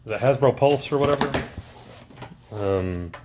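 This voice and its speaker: A man's voice: medium pitch, lively intonation, unhurried, heavy background noise. A man talking casually through a toy unboxing, rambling with lots of ums and uhs, his voice recently back after allergies.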